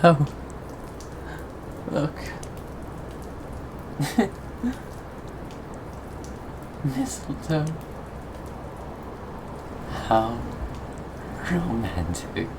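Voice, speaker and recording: A man acting an obsessive, sadistically tender lover in a scripted ASMR roleplay, taunting with breathy chuckles. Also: medium pitch, noisy background, full character voice. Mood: wounded, tenderly taunting.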